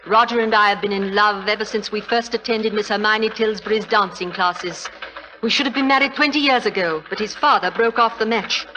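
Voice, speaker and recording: Actress as imperious society widow, noisy old recording. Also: female voice, mid-range voice, brisk, lightly acted. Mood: frank, brisk. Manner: imperious, chatty, emphatic. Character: brisk, frank, wealthy English society widow, middle-aged. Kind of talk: 1940s radio acting.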